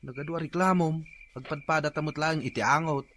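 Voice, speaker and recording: A man's voice: fairly high pitch, steady tone, a little fast, a bit bright, lightly acted. A man playing a playful, hungry fish-peddler husband in a comic radio drama, teasing and a little whiny.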